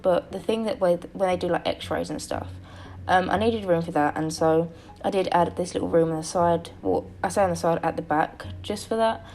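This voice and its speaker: Young woman, tired and slightly croaky, low-pitched, rambling and apologetic casual voice-over, faint hiss. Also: a little mellow. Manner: rambling, self-correcting, apologetic. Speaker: game building vlogger.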